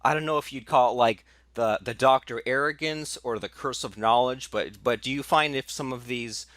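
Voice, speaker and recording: A man's voice: medium pitch, normal speed, a bit bright, no echo. A male podcast host with a mid-range voice asks long, rambling questions full of fillers and restarts. The recording is dry and close, with no room sound. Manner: eager, filler-heavy, restarts a lot. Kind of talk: casual podcast interview.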